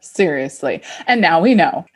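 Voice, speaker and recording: A woman's voice: deep voice, big pitch swings, measured pace, breathy edge. A middle-aged American woman co-hosting a podcast, chatting casually and playfully, with plenty of "like" in her speech. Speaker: podcast co-host. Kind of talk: casual, reactive chat.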